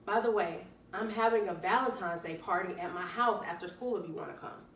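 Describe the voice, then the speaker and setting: A woman's voice: on the low side, a little fast, a bit bright. A woman voicing a cheerful, encouraging young female cat, emphatic and reassuring, in a children's storybook read-aloud. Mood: excited. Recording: roomy, distant mic, faint noise.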